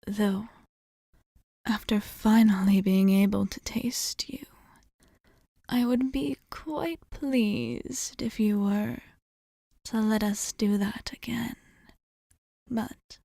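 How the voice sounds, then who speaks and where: A woman playing a composed, caring female vampire in an ASMR roleplay, speaking softly and breathily with formal, gently commanding phrasing, often in a whisper. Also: medium pitch, steady tone, slow, pauses often, no echo, clean studio sound. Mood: soothing, tender. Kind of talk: ASMR roleplay addressed to the listener.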